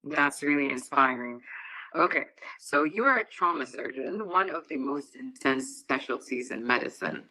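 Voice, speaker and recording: A young woman in her twenties moderates the interview with a bright, forward voice. The recording is close and dry, with no room around her. Her questions come out earnest and a little halting. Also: extremely low pitch, expressive, no echo, poor audio. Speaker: podcast moderator, medical student. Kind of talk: scripted intro and interview questions.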